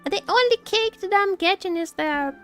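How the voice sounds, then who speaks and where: High-pitched, animated woman streaming a game. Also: normal speed. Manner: playfully complaining, repeats phrases, expressive. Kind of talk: casual live gameplay commentary. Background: game music.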